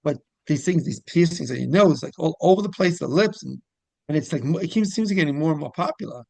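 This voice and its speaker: A man's voice: fairly high pitch, lively intonation, fast talker, vocal fry, a little mellow, dry recording. A man hosting a casual interview, talking in a rambling, repetitive way. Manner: rambling, repeats phrases, griping about pet peeves. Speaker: interview show host.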